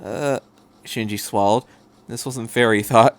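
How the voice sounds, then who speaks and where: Lively, dry-sounding male story reader. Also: medium pitch, moderate pace, no room sound. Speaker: podcast host and fan fiction reader. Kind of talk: scripted narration, story reading.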